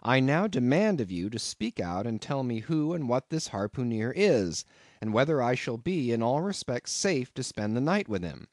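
A man's voice: mid-range voice, sing-song, normal speed, a little mellow, no echo, clean studio sound, lightly acted. A man reading an audiobook voicing an indignant young traveller who talks in formal, elaborate sentences. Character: indignant young male traveller, proper and wordy.

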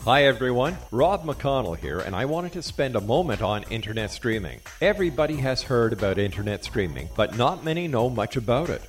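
A man, a radio host, delivers a scripted advertising pitch addressed straight to the listener. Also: very animated, fairly quick, few pauses. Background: faint music.

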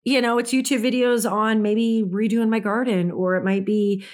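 A woman's voice: fairly high pitch, steady tone, moderate pace. A woman, hosting her own podcast in a casual, chatty way, laughing often. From Midwest United States. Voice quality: raspy. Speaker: podcast host. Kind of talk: casual solo podcast chat.

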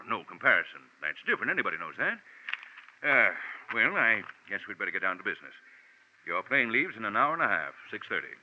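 A man's voice: on the low side, sing-song, fairly quick, slight background noise. A stuffy, blustering older office boss in vintage radio drama. His intonation swings widely as he fusses and repeats himself. The recording is old and muffled. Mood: indignant. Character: fussy, pompous older insurance general manager. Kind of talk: 1940s radio drama acting.

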